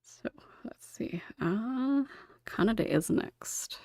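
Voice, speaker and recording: A woman's voice: on the high side, animated, extremely slow, vocal fry, dark tone, no echo, whispered, studio quality. A woman hosting a casual podcast panel over an online call, with an informal, giggly, hesitant manner. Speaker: podcast host. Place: online voice call, dry close recording. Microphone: own microphone with noise suppression.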